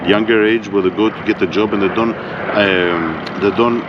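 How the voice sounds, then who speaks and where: Man, Greek accent, low voice, monotone, casual and profane, dull lo-fi audio. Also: moderate pace, very noisy. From Greece. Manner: laid-back, swears freely, keeps saying "you know what I mean". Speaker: vlogger. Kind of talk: casual monologue.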